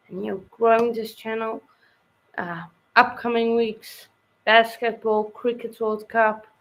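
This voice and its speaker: A woman hosting a casual sports livestream, talking hesitantly with many pauses while she gives updates and signs off. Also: mid-range voice, rough recording. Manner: hesitant, many fillers, wraps up the show. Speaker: stream host.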